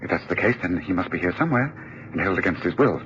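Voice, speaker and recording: Casting: decisive sleuth, brisk and confident, slightly muffled radio drama with faint hiss. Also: male voice, low-pitched, lively intonation, a bit bright. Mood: urgent. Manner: urgent, incisive, confident. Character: brisk, decisive, authoritative male detective. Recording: dull radio recording, faint noise.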